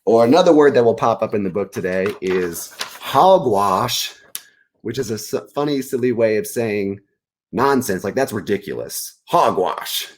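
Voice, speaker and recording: A man's voice: fairly low pitch, animated, moderate pace. A man, an author, reading aloud and explaining words informally to young listeners at a children's story time. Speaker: author reading own book.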